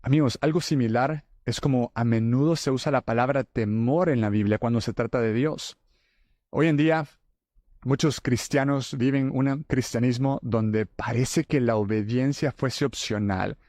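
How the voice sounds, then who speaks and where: A man's voice: medium pitch, normal speed, a little mellow, dry recording, clean studio sound. A man from El Salvador, hosting a faith podcast and earnestly talking straight to his listeners as friends. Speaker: podcast host.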